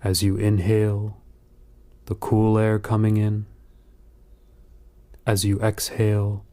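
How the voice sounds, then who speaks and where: Male meditation narrator: lowish, very soft-edged relaxed tone, near-flat delivery, calm and unhurried phrasing. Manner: calm, measured, soothing instruction with long pauses. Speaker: meditation guide.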